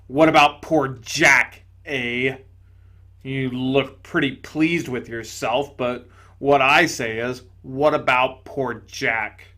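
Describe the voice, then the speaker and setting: A man voicing a blustering, self-righteous big male ghost who talks loudly in rough, ungrammatical working-class speech, as part of a storybook reading. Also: medium pitch, fairly even intonation, slow pace. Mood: indignant.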